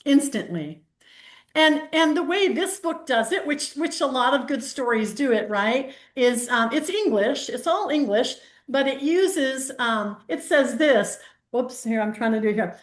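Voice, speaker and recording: Give me a woman leading a casual workshop over a video call who now and then reads from a picture book. She sounds a touch high and chatty. Her voice has a roomy echo to it. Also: animated, a little slow. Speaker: workshop host. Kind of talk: casual group discussion on Zoom.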